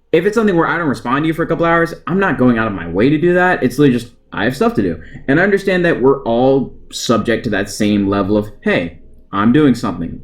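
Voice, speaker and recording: Animated young American man telling stories. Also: medium pitch. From United States. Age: young adult. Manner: self-deprecating, joking, colloquial. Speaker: vlogger telling personal stories. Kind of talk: casual chatty storytime. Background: guitar music.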